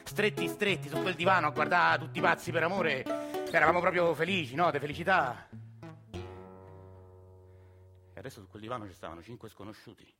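A middle-aged man with a Roman accent performing a theatre monologue, weary and hurried. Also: a little high. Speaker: actor in a one-man show. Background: faint guitar and music.

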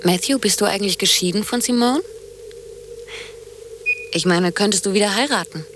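An actress playing a blunt, sceptical, unsentimental lover in radio drama dialogue, probing in a matter-of-fact way. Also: female voice, medium pitch, moderate pace, noisy background, lightly acted.

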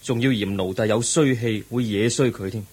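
An actor playing a gentle, humble young prince who speaks softly and politely in a radio play. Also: male voice, fairly low pitch, moderate pace, breathy edge. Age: young adult. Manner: polite, soft-spoken, modest. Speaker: young noble wanderer.

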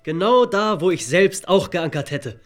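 An actor playing an eager, affectionate young sailor son in a literary radio drama. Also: male voice, sits high, lively intonation, unhurried.

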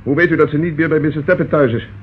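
An actor playing a decisive, businesslike police inspector with brisk authority in an old radio crime drama. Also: male voice, fairly high pitch, steady tone, natural voice. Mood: stern, accusing. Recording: muffled, noisy old radio recording.

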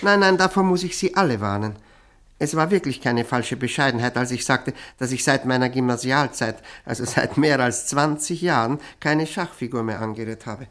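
An actor playing a modest, courteous middle-aged lawyer in a radio drama, speaking in a hesitant, deliberate and polite way. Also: male voice, mid-range voice, a bit bright, natural voice. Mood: modest, insistent.

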